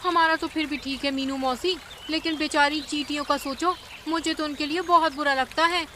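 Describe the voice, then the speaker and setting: A woman's voice: high voice, steady tone, moderate pace, a little hiss or hum, natural voice. A woman voicing a caring, chatty young female bird in a cartoon story. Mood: friendly, concerned. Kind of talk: Hindi animated cartoon story acting.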